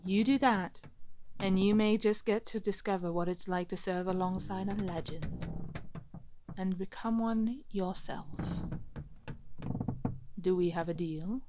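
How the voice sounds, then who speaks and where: A woman's voice: medium pitch, steady tone, unhurried, pauses often, background noise, lightly acted. A woman playing an assertive, wry captive pirate admiral in an ASMR roleplay, with a formal, theatrical, teasing delivery.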